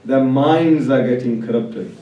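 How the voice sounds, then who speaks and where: A male preacher delivers a sermon from a little way off in a heavily reverberant hall. His pace is slow and deliberate, and he leans emphatically on repeated phrases as he addresses the congregation. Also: medium pitch, lo-fi sound. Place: large echoing religious hall. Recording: very echoey hall, distant mic.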